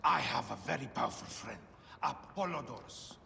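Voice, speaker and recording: An actor playing a furtive, florid poet in ancient Alexandria, expressive and conspiratorial, in a video game. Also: male voice, medium pitch, normal speed, exaggerated voice. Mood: grave, discreet. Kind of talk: dramatic video game acting. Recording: long reverb, clear background noise.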